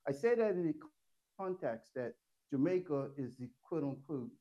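A Caribbean man hosting an interview in a relaxed chatty way, rambling through his questions. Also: on the high side, moderate pace, highs cut off. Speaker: interview host. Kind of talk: casual interview chat.

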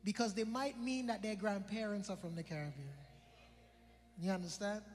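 High-voiced preacher in a reverberant hall. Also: male voice, moderate pace. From British Virgin Islands. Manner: conversational, joking, repeats phrases for emphasis. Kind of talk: sermon. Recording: long hall reverb with faint music.

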